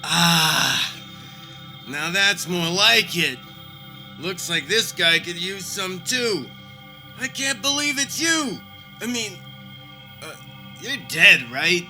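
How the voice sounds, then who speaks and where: A man's voice: high voice, lively intonation, takes it slowly, long pauses, pushed and bright, soft background music, exaggerated voice, low-quality recording. A man playing a grumpy, complaining, old-fashioned husband in a comedic radio play, grumbling as he talks. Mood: bemused. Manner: grouchy, grumbling.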